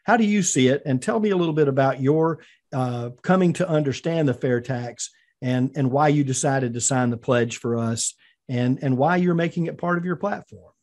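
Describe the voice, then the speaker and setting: A man hosts a talk podcast at a middle pitch. He asks loose, meandering questions packed with "uh"s and repeated words, circling before he gets to the point. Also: moderate pace, a little mellow. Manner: rambling, filler-heavy questions with many restarts. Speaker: podcast host. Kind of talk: casual interview hosting.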